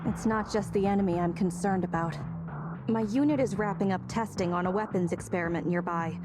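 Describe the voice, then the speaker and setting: A woman acting as a disciplined female soldier from a video game, stern and guarded. Her delivery is clipped and formal, military to the core, at a medium pitch. Loud music plays behind her. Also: a little fast, close to an everyday voice. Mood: serious. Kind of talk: video game story dialogue.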